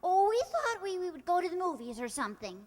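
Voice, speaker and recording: A woman's voice: very high-pitched, very animated, fast talker, a little mellow, big-room sound. A woman playing a sensible but impatient young niece, coaxing her family in old-time radio comedy style. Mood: flustered, insistent.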